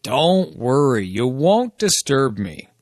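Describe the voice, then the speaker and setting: Slow, lively man voicing an easygoing male friend. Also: medium pitch, no room sound, clean studio sound. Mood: reassuring. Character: easygoing, reassuring man named Jack answering a friend's call. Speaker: dialogue voice in a language lesson. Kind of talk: scripted lesson dialogue read for learners.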